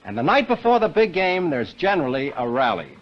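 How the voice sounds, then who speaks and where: A man's voice: a little high, big pitch swings, fairly quick, dull audio. A man reading a scripted commercial as an announcer on old-time radio. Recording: band-limited vintage radio recording.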